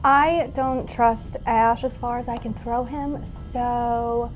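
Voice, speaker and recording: A woman's voice: a little high, fairly even intonation, slow pace, rough recording. A woman chatting casually as a player in a tabletop role-playing game. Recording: background music under the voice.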